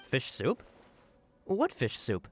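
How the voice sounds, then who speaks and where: Here is a young male subordinate in a video game. He sounds earnest, deferential and flustered, with a very lively pitch. Soft music plays behind him. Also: takes it slowly. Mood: defensive.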